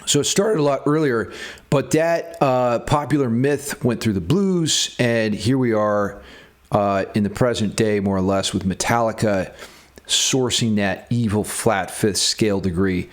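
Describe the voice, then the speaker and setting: A man hosting a music theory lesson, explaining in a playfully theatrical, tongue-in-cheek way. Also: on the low side. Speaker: music theory teacher and video host. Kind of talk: guitar music theory lesson with scripted dramatic intro then casual explanation.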